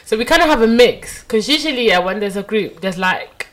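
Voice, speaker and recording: A woman's voice: fairly high pitch, lively intonation, a little fast. A woman, hosting a casual podcast chat, chatty and rambling with fillers and frequent laughs. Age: 20s. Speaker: podcast host.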